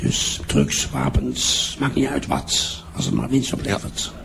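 A man's voice: mid-range voice, sing-song, creaky, full character voice. An older man playing a boastful, roguish crime boss and charming father in a radio play, drawing out and savouring his words. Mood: smug, boastful. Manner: drawn-out, self-satisfied, savouring his words. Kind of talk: improvised radio play dialogue. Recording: noisy room ambience.

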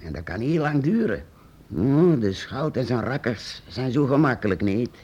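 An actor playing a secretive, eccentric master painter-inventor in a radio play, hesitant and halting, then urgent. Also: male voice, medium pitch, big pitch swings, darkish tone, slightly rough, lo-fi sound. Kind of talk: radio drama acting.

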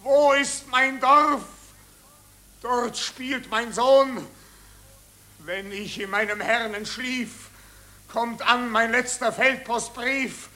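An actor playing a defiant dying soldier in a radio drama, declaiming verse in a strained, impassioned manner. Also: male voice, extremely high pitch, slow pace, exaggerated voice. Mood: bitter defiance. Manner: strained, declamatory, rising to shouts.

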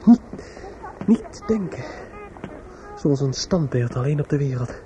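An actor playing a desperate, distraught young man in a radio play, muttering in a halting, repetitive, obsessive way. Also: a little high, expressive, highs cut off, background noise. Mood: brooding, dazed.

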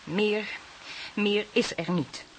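An actress playing a prim, anxious and stubbornly convinced aunt in a crime drama, speaking earnestly, emphatically and haltingly. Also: female voice, medium pitch, low-quality recording. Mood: distressed, insistent. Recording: hissy recording, faint noise.

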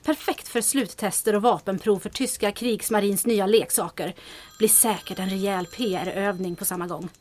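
A female actor plays an unflappable secretary in a comic radio play. Her voice is medium in pitch. She is wry and businesslike, with a dry, sardonic delivery. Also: a little mellow, natural voice. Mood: informed, dry. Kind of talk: comedic audio drama acting.

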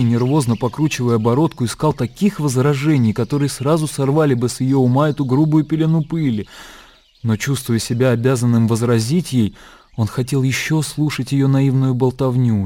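A man's voice: mid-range voice, mellow. A man reading scripted literary narration for a radio play. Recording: faint birdsong and outdoor ambience.

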